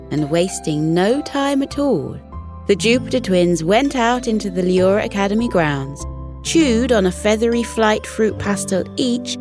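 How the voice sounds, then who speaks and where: Female bedtime story host: lowish pitch, animated, expressive scripted delivery, careful diction.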